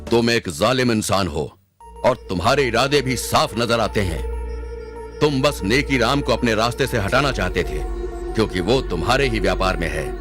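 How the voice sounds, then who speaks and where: A man plays a stern and just emperor of old India in a cartoon moral tale. The voice is low, regal and commanding, with music clearly heard behind it. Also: lively intonation, moderate pace, natural voice. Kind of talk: animated moral story acting in Hindi.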